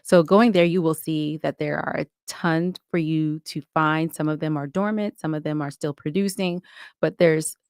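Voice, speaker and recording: A woman's voice: low voice, steady tone, normal speed, a little mellow, clean tone, no echo, clean studio sound. A woman giving talkative, explanatory answers as a guest in a conversational podcast interview. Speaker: podcast guest, audio drama creator.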